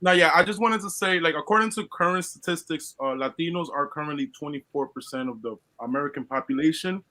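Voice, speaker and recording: A man from Colombia speaks in a high voice with a bright, forceful edge. He is a guest on a live stream chat and talks in an earnest, lecturing way, tripping over his restarts as he pushes through. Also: fast. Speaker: guest caller. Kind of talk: casual opinion talk.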